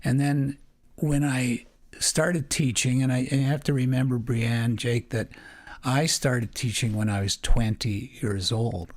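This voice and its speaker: An older man talking in a loose, rambling way as a podcast guest, his stories full of restarts and small laughs. His voice sits at an everyday pitch for a man, neither deep nor high. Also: steady tone, unhurried. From Canada. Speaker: podcast guest, retired teacher. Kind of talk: casual storytelling interview.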